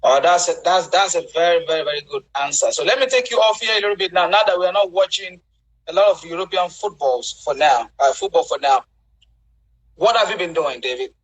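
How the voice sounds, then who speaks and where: Husky-voiced hesitant male host. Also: high-pitched, a little fast, low-quality recording. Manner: hesitant, stumbling restarts. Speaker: interview host. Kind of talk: casual online interview.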